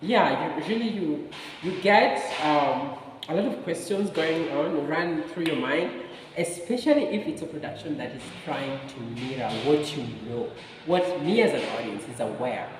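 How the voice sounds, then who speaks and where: A man's voice: on the high side, lively intonation, normal speed. A man with a Kenyan accent giving a casual conversational review as co-host, hesitant and searching for words, often repeating phrases. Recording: echoey hall, distant mic, faint noise.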